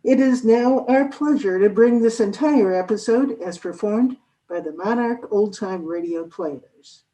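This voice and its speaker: A woman's voice: mid-range voice, a little slow, warm tone. A woman announcer reading a scripted introduction for a radio drama. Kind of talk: scripted narration. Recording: slightly distant video-call microphone.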